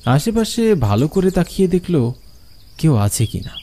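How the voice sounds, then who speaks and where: A man's voice: on the high side, sing-song, normal speed, warm tone, slight background noise. A man narrating a scripted horror story.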